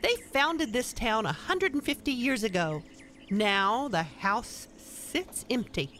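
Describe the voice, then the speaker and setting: A woman playing an opinionated, folksy older wife who briskly meddles, in a radio play. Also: a little high, lively intonation, bright, forceful tone, slight background noise, full character voice. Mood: enthusiastic.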